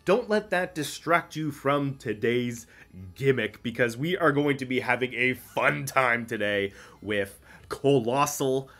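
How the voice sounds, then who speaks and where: A man's voice: mid-range voice, unhurried, a bit bright. A man with a cold and a congested voice, casually explaining a competitive game team and thinking aloud with many ums. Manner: chatty, explains strategy, frequent ums. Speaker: Pokemon VGC content creator.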